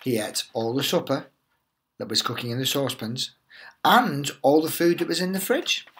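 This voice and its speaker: A middle-aged man, a headteacher, reading a picture book aloud to young children in an expressive, reassuring way. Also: medium pitch, moderate pace, background noise.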